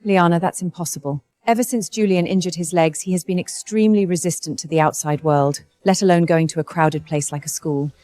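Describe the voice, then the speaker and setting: A woman voices a caring middle-aged mother in a dubbed drama, gentle and earnest. Her voice sits low and mellow. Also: a little fast, few pauses, close to an everyday voice. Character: worried, kindly mother of a wealthy household.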